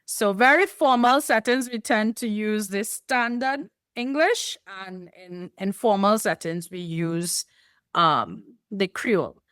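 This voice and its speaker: A woman from Trinidad and Tobago with a Trinidadian accent, explaining things in a measured way as a podcast guest. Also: mid-range voice, a bit bright, clean tone, no room sound, studio quality. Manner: explanatory, measured, with light laughs. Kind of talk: podcast interview.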